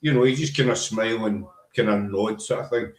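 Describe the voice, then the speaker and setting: A man's voice: fairly low pitch, fairly quick. A man from Glasgow with a Scottish accent, hosting a casual chat and talking in a chatty, rambling, emphatic way. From Scotland. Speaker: podcast host. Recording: roomy echo.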